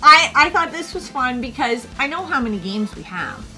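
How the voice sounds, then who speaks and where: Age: 40s. Sex: woman. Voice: bright, a little high. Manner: chatty. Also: very animated, normal speed, faint music behind. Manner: chatty, lays out figures and averages. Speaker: co-host of a retro gaming channel. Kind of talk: casual chat.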